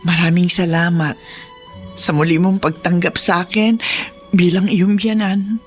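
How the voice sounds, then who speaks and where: A woman's voice: fairly low pitch, fairly even intonation, soft background music, natural voice. Actress as remorseful older Filipino mother-in-law. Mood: grateful, remorseful. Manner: emotional, halting, pleading. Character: remorseful older mother-in-law, once mean, now humbled. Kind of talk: Filipino radio drama acting.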